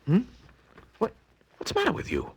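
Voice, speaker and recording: A man's voice: high-pitched, wildly swooping pitch, normal speed, darkish tone, slightly rough, exaggerated voice. An actor playing an easygoing, skeptical man in his thirties, breezy and colloquial, in 1940s radio style. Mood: sleepy exasperation.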